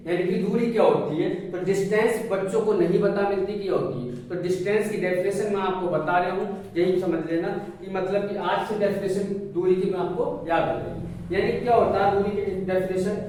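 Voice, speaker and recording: A man's voice: high-pitched, fairly even intonation, fairly quick, few pauses, darkish tone. A man lecturing as a physics teacher, didactic, repeating phrases and asking check questions. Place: indoor classroom with a board. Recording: very echoey room, distant mic, faint noise.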